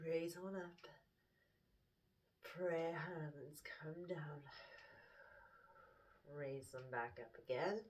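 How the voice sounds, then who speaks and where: Slow, calm woman guiding yoga from afar. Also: deep voice, recorded from a distance. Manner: calm, gently encouraging cues, drops to a whisper at times. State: stuffy-nosed from hay fever. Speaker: yoga instructor. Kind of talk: guided yoga instruction.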